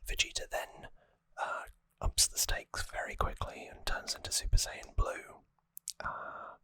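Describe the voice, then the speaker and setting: An ASMR host whispering an informal recap close to the microphone, in short phrases with hesitant pauses. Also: pushed and bright, no room sound.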